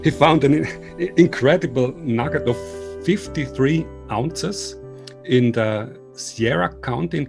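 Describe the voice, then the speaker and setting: Swiss guy doing an interview, polite n earnest, halting english, mid voice, faint music behind. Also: medium pitch. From Switzerland. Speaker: interviewer and video series host. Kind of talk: informal remote interview.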